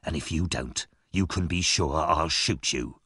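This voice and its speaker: A man acts out a menacing male burglar from a storybook. His voice is deep and rough, husky at the edges. He gives orders like threats, with big swings in pitch, recorded close and dry. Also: unhurried, sharp tone, no room sound, heavily acted. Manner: threatening, commanding.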